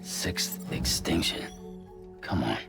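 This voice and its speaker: An actor playing a gruff, skeptical courier hero in a video game, speaking tersely and bluntly. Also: male voice, takes it slowly, over music, natural voice. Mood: guarded, puzzled. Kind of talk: cinematic video game dialogue.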